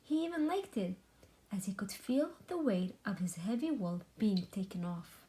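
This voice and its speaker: A woman reading a children's storybook aloud with deliberate, dramatic, expressive narration. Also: medium pitch, a little hiss or hum, rough recording. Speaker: storybook reader.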